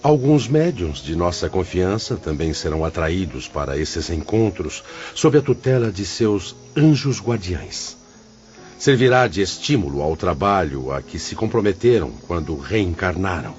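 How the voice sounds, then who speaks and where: A man's voice: low voice, big pitch swings, unhurried, darkish tone. A man playing a grave, fatherly spirit mentor in an audiobook drama, speaking in a solemn, preacherly manner. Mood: explanatory. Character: grave, fatherly male spirit mentor instructing his pupils. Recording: dull lo-fi audio under music.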